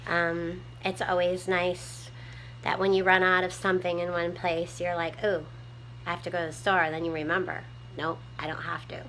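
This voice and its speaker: A woman with a small, soft voice, chatting casually to her viewers from home with lots of ums while she tidies up. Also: lowish voice, flat delivery, moderate pace, slight background noise, low-quality recording. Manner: rambling, many ums, homespun talk. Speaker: prepping vlogger. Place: home room, tidying a table.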